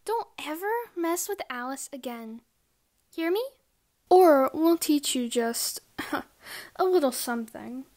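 Woman playing a high, taunting bully pony girl. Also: animated, a little mellow. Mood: threatening. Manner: taunting, drawn-out. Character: menacing young female school bully pony. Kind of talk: amateur animated audio drama.

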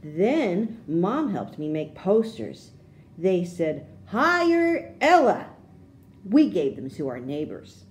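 A woman reading a children's storybook aloud, with expressive, deliberate phrasing for young listeners. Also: fairly low pitch.

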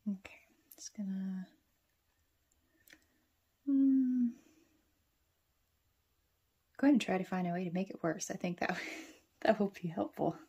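A woman's voice: medium pitch, expressive, brisk, dark tone, husky, lo-fi sound. A woman talking casually to herself as she works on a craft, in short, self-deprecating remarks. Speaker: craft vlogger. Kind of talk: casual talk while drawing in a journal. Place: indoors at a wooden table.

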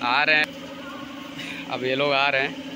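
A man's voice: mid-range voice, level tone, measured pace, noisy background. An Indian man giving casual, repetitive walk-through commentary as a vlogger, recorded on a handheld phone in a workshop. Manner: informal, repetitive narration. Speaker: vlogger showing around a factory. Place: large workshop and its yard.

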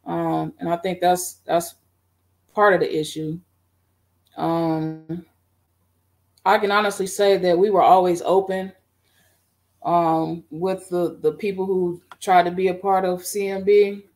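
A middle-aged woman on a video call, recounting her band history in a relaxed, rambling way with many fillers. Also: on the low side, unhurried, long pauses. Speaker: guest band musician. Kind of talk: casual interview. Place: home room.

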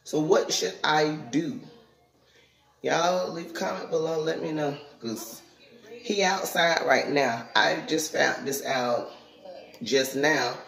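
A woman's voice: low-pitched, slow, creaky, fairly bright tone. A middle-aged woman, chatting casually and plainly to viewers as a vlogger. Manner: plainspoken, conversational, addressing viewers directly. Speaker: vlogger and parent. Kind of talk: casual vlog chat. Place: indoors in a house, kitchen and hallway. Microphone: phone at arm's length. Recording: roomy, echoey home sound.

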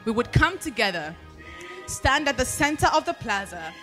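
A young Nigerian woman with a Nigerian accent performing spoken word poetry at a podium, with measured, expressive pacing and deliberate pauses. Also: fairly high pitch, faint music behind. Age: young adult. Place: large banquet hall at a podium. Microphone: handheld microphone.

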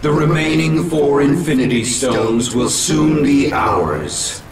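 Very slow, echoing robot villain. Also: male voice, medium pitch, animated. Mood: gloating menace. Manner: grandiose, menacing, deliberate. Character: megalomaniac merged robot villain who claims godhood. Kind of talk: theatrical video game villain acting. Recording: echoing, distant, under loud game music.

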